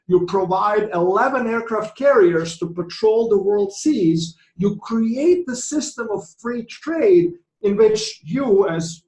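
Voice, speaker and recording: A man with a Croatian accent gives a conference presentation as an economics professor, his voice pitched high for a man. He lectures with frequent ums and self-corrections. The sound carries the roomy echo of a hall. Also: a little mellow. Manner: lecturing, frequent fillers and restarts.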